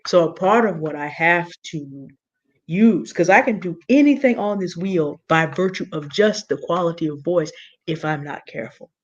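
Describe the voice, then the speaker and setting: A woman with a big, low contralto voice, talking through a point deliberately and earnestly as a panelist in an online discussion. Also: animated, lo-fi sound. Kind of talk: measured explanatory talk.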